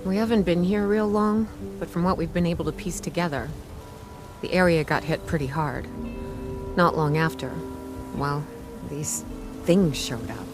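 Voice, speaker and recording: Actress as low-voiced scrappy female wasteland scavenger. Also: moderate pace, pauses often, over music, natural voice. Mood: frank, helpful. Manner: casual, hesitant, earnest. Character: scrappy young female wasteland treasure hunter, hopeful, friendly. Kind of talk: naturalistic video game dialogue.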